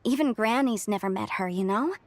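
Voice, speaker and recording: A woman's voice: medium pitch, expressive, brisk, lightly acted. A woman playing a bubbly, curious, chatty young woman in a video game, speaking with enthusiasm. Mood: enthusiastic. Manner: bright-eyed, enthusiastic, conversational. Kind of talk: video game character acting. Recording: faint game music behind the voice.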